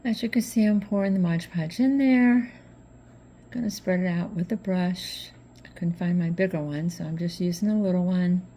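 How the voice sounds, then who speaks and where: A middle-aged woman with a slightly hoarse voice from allergies, chatting relaxed step by step through a craft tutorial as host. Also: lowish voice, moderate pace. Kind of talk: casual craft tutorial narration.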